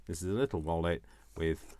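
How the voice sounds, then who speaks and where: Deep-voiced man rambling through a craft tutorial. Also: brisk, dark tone. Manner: rambling, self-deprecating, jokey asides. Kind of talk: casual, chatty craft demonstration. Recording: noticeable background noise, small clicks.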